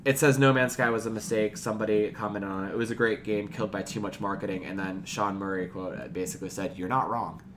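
A male podcast co-host with a fairly low voice speaks in a casual register, chatting or reading items out. There is a little noise behind him on the recording. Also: fairly even intonation, fast talker, few pauses, a bit bright.

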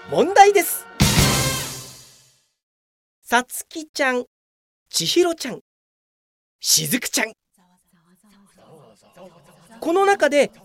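A woman's voice: high-pitched, fairly bright tone, heavily acted. A woman playing a brazen, oblivious, self-satisfied veteran office worker with a pompous manner in a comedic radio drama. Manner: pompous, grandly self-promoting.

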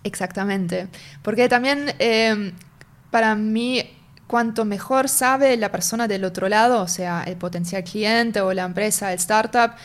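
Young woman talking in an interview, in her spanish, explains things slowly, keeps hunting for the right word. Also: medium pitch. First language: German. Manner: explanatory, searches for words as she goes. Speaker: marketing and sales lead, interview guest. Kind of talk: casual interview conversation.

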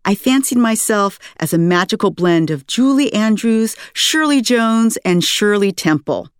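An American woman, an author reading her own memoir aloud in an articulate, expressive storytelling manner. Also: mid-range voice, no echo, clean studio sound. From United States, West Coast. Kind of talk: scripted memoir narration.

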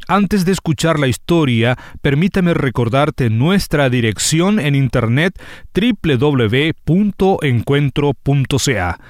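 A male host presents a radio programme and interviews his guests. His voice sits at a middle pitch. The sound is dry, with very little room in it. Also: no echo.

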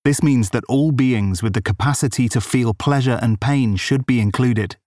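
A man narrating an audiobook, reading quotations in a deliberate, formal delivery. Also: mid-range voice, darkish tone, no room sound, clean studio sound.

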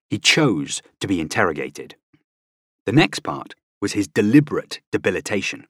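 Low-voiced, expressive male audiobook narrator. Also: slightly rough, dry recording, clean studio sound. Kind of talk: scripted nonfiction narration.

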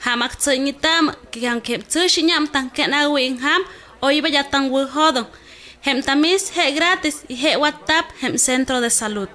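A woman's voice: sits high, a little slow, pushed and bright. A woman playing a doctor who explains things to a new mother in a health radio drama. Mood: explanatory.

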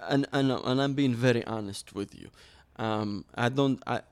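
A man's voice: mid-range voice, normal speed, darkish tone, smooth. Earnest man telling his story. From Iraq. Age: 30s. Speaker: interview guest. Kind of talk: personal storytelling in an interview.

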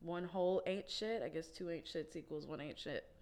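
Casual woman host, low and crisp, laid-back with frequent laughs. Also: moderate pace, a little mellow. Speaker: podcast host. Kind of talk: casual chat.

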